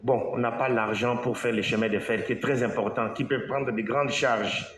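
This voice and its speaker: A man from Congo, an engineer, giving a slow, halting talk to an audience, with pauses and restarts. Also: medium pitch, steady tone, a bit bright. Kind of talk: speech to an audience. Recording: echoey hall, faint background noise.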